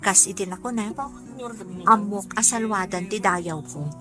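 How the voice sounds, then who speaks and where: A woman's voice: mid-range voice, steady tone, a little slow, natural voice. A woman acting a self-respecting young club worker in a radio drama, with an Ilocano accent. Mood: surprised, earnest. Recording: radio drama with music underneath.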